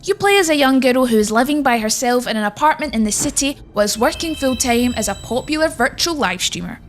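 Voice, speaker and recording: A woman's voice: high-pitched, lively intonation, fairly quick, soft background music. A woman narrating a scripted video game list as its presenter.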